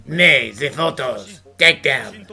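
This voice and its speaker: A sceptical sidekick played by a man with a creaky, very bright, forceful voice. The delivery is dry cartoon game dubbing, with music behind. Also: medium pitch, slow, full character voice. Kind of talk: fan dub game voice acting.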